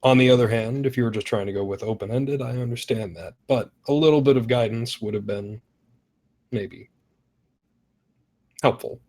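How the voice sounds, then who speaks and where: A man's voice: medium pitch, moderate pace, long pauses, darkish tone. A man giving casual script feedback in an online group call, point by point, often searching for his words. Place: online voice chat.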